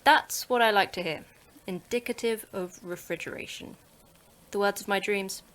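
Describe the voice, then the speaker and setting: A woman's voice: medium pitch, moderate pace, a bit bright, lightly acted. A woman playing the terse, dry-witted manager of a secret facility in a sci-fi horror audio drama.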